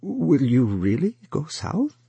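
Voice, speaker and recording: A man voicing a scheming older uncle who cries out in a high, falsely hearty voice. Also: lively intonation, moderate pace, creaky, husky, no room sound, full character voice. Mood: sly. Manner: crying out, high and loud.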